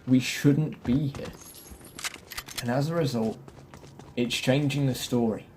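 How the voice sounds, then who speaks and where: Man, medium pitch, casual game commentary, noticeable background game noise. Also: slow pace. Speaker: gaming video host. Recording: clear game noise behind the voice. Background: game ambience and vehicle noise.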